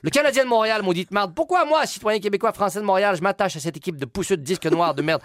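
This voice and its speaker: Man putting on a haughty intellectual, Quebec French accent, highish voice, theatrical diction, indignant, crude slips, close dry recording. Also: expressive, racing, dry recording. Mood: outraged rant. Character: pompous mock-French intellectual man, outraged, rambling, haughty. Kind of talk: comic radio monologue.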